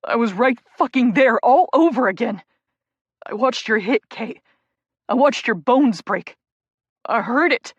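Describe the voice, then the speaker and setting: A woman voicing an anguished young male hockey player who shouts and swears through sobs. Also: on the high side, lively intonation, measured pace, long pauses, breathy edge, no echo, lo-fi sound. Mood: sobbing.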